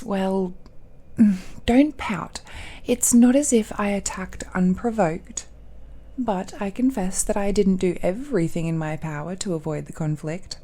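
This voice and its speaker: A woman's voice: mid-range voice, dark tone. A woman voicing an ancient, possessive villainess in an intimate audio roleplay, teasing and condescending with smug, doting airs. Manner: languid, condescending, teasing, with sighs and hums.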